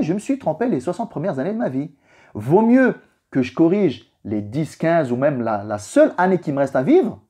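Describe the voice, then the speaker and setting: A man's voice: mid-range voice, mellow. A young man, a native Arabic speaker, giving an informal motivational religious reflection in an emphatic way, stressing points with repetition. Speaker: vlogger giving a personal reflection.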